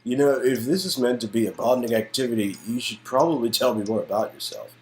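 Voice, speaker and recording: A man's voice: medium pitch, quick pace, a little mellow, soft background music, close to an everyday voice, rough recording. A man playing a teasing young date in a dramatic reading of an interactive story game. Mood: curious. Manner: teasing, mock-surprised.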